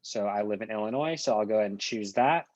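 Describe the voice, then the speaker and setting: Dry, slightly dull-sounding man giving software walkthrough. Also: lowish voice, fast, no echo. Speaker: software trainer. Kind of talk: screen-share software walkthrough.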